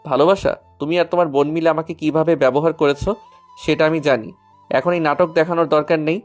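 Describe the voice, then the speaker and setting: A man's voice: on the high side, fairly even intonation, fairly quick, faint music behind, lightly acted. A man playing a proud, betrayed young lover in a romantic audio story. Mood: angry, hurt. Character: angry betrayed young male lover, proud but softening.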